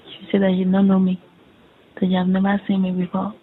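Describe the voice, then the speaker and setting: A young adult woman with a Nigerian accent casually recounts a conversation, haltingly and repetitively, quoting who said what. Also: mid-range voice, monotone, no echo. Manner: halting, repetitive retelling with reported dialogue. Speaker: caller in a private phone conversation. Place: recorded phone conversation. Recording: muffled lo-fi recorded phone call.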